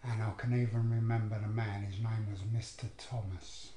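An older man from London, speaking slowly and plainly with pauses as he recalls his memories in a living room. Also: fairly low pitch, little pitch movement, echoey room, background noise. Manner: slow, plain, pausing. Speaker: interview guest, abuse survivor. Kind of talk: recounting memories.

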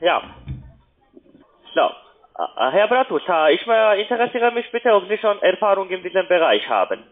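A man with a foreign accent in German giving a hesitant, polite sales pitch as a call centre agent, often ending on little questions. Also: very animated, normal speed. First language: not German. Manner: hesitant, polite, repeatedly tags sentences with questions. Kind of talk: halting phone sales pitch. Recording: muffled, narrow telephone line.

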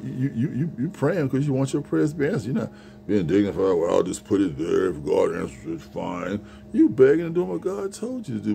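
Gravelly middle-aged man preaching casually. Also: on the low side, mellow. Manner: earnest, repetitive, conversational preaching. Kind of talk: informal sermon. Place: small store room with shelves, indoors. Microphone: phone camera at arm's length.

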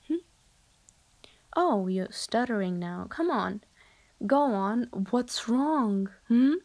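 A young woman voicing an irritated young woman who bluntly rebuffs a persistent admirer, with sarcasm and frequent breathy whispers. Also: fairly high pitch, darkish tone. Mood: incredulous. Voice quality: often drops to a breathy whisper. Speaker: voice roleplayer. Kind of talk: improvised audio roleplay.

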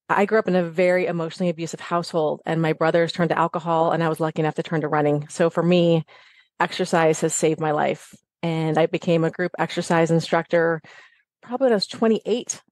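A middle-aged woman coach speaks with a fairly low voice. She talks in an energetic, emphatic way. The sound is dry and close. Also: no room sound. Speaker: health and wellness coach. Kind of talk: podcast guest story.

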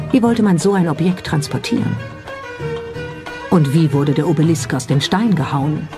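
A documentary narrator delivers scripted lines at a medium pitch, with pointed skepticism and a rhetorical edge. Music plays clearly behind the voice. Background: background music.

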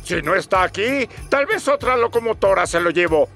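A man voicing a jovial, salty sailor-like locomotive with a gravelly drawl and Latin American accent, in a cartoon dub. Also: high-pitched, animated, normal speed, sharp tone, soft background music, heavily acted. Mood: jovial, rambling. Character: jovial salty old sailor-like male dock diesel locomotive. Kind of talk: animated children's series dub.

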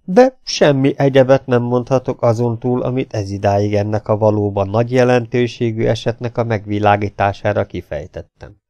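A man's voice: medium pitch, warm tone, no room sound, muffled recording, natural voice. A man voicing a meek, absent-minded old professor in an audiobook story, fussily polite and repeating himself in a mild mutter. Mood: flustered, polite.

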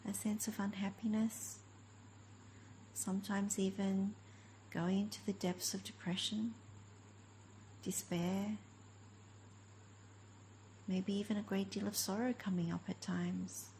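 A woman leading a guided meditation as a teacher, speaking gently in short, unhurried phrases with long pauses. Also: medium pitch, level tone, slight background noise, low-quality recording. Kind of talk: guided meditation, slow spoken instruction.

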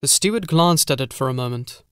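A man's voice: medium pitch, animated, fairly quick, a bit bright, clean tone, no room sound, clean studio sound. A man reading scripted gothic horror narration as an audiobook narrator.

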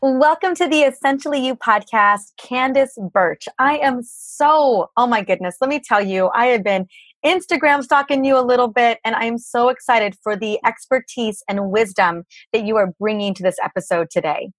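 A woman hosting a podcast interview, her voice raspy and hoarse from a book tour, talking in an effusive, gushing way. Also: on the high side, moderate pace. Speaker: podcast host.

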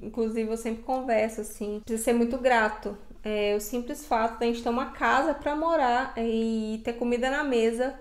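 Brazilian female vlogger, slightly high register, conversational and colloquial, roomy indoor sound. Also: fairly even intonation, normal speed, few pauses. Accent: Brazilian Portuguese. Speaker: travel vlogger. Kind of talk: casual chat to camera. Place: indoor living room, seated on sofa. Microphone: lapel mic.

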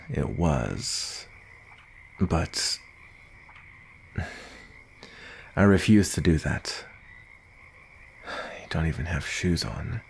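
A man voicing a gruff werewolf bounty hunter with a soft side, in an intimate ASMR roleplay, with a deep gravelly voice and a murmuring, deliberate delivery. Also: slight background noise, full character voice. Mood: gentle, protective. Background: nature ambience.

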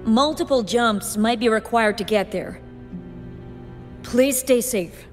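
A composed, polite young guide in a game, careful and apologetic as she explains. Her voice is a little high and rings with long reverb over music. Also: takes it slowly. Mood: calm, apologetic. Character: calm, apologetic woman guide explaining a mission. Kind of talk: game dialogue, expository.

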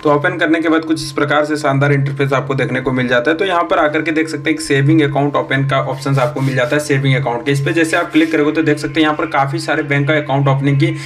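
A man narrates a phone app tutorial over a screen recording. He talks fast, in quick runs, and his voice sits a little high for a man. His manner is chatty, and he keeps calling his viewers "friends" and repeating "you can see here". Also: steady tone. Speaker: YouTube tutorial host.

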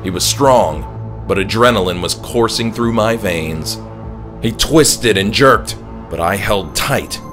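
Guy reading a horror audiobook, kinda deep voice, dramatic narration, music in the back. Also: takes it slowly. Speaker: author narrating his own horror story.

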